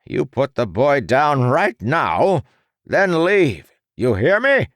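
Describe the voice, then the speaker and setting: A man's voice: fairly high pitch, big pitch swings, slow, fairly bright tone, no room sound, studio quality, voice pushed up, heavily acted. A man voicing a theatrical, defiant old mad doctor in an audiobook story. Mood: fierce, commanding.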